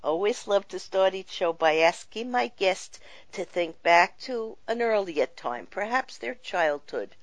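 Slow, earnest spiritual talk host woman. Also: mid-range voice, lively intonation, no echo. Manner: gentle, reflective, earnest. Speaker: show host. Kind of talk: spiritual talk show chat.

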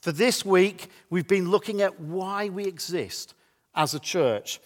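High-pitched male pastor, slightly croaky. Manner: emphatic, deliberate, repeats phrases for effect. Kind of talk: church sermon.

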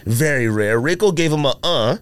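Animated, higher-pitched man hosting, slightly sniffly. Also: moderate pace, clear, resonant tone, no echo. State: sniffly from allergies. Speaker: podcast host. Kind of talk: casual sneaker and sports banter.

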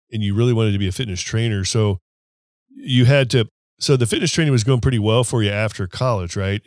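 Low-voiced, rambling podcast host. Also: male voice, darkish tone, no room sound, studio quality. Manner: rambling, chuckling, trailing off. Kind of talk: casual interview.

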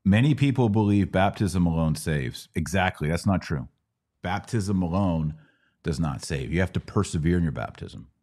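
A middle-aged American man with a notably low voice hosts a question-and-answer talk. He explains in a conversational, instructive way, often stopping to pose a rhetorical question and then continuing. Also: lively intonation, normal speed, warm tone. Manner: didactic, checking with rhetorical questions. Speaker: podcast host answering religious questions. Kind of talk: conversational Q&A teaching.

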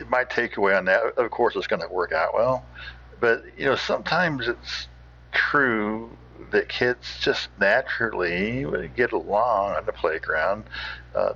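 A man's voice: lowish voice, creaky, sharp tone, lo-fi sound. A man hosting with casual, rambling, hesitant commentary in a folksy manner, thinking aloud. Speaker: host of an old-time radio show.

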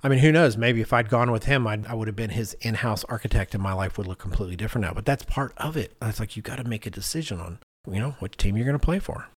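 A man's voice: lowish voice, fast talker, few pauses. A middle-aged man co-hosting a podcast, telling stories in a casual, conversational way and acting out what people said. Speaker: podcast co-host.

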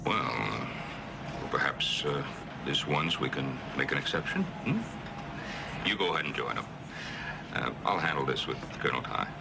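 A fatherly older commander in a TV space drama. His voice is extremely low and gravelly, measured and kindly, over clear background noise. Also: lively intonation, heavily acted. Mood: kindly, hesitant. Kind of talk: 1970s TV science fiction drama acting. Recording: noisy TV soundtrack with ship ambience.

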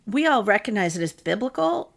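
A woman with a mid-range voice co-hosts a relaxed faith podcast. She chats freely and jokes easily. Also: mid-range voice, a little fast, gravelly. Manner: chatty, jokes and laughs easily. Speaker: podcast co-host. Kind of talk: casual chat on faith and scripture.

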